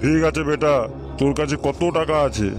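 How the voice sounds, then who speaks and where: Man acting as wily guru, comic cartoon, coaxing, repetitive, fee-hungry, clear background music. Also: a little high, moderate pace, lightly acted. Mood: wheedling. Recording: music under the voice.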